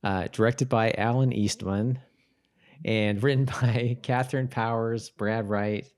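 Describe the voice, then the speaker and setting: A man co-hosting a casual rewatch podcast, talking informally and haltingly as he corrects himself. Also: medium pitch, steady tone, a little mellow. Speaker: podcast co-host.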